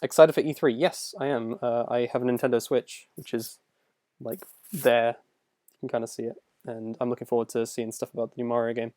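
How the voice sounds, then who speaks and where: A man's voice: mid-range voice, flat delivery, brisk, darkish tone, no room sound, clean studio sound. A young man casually answering viewer questions on a livestream, rambling and self-deprecating as he goes. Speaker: animator and video creator answering viewer questions.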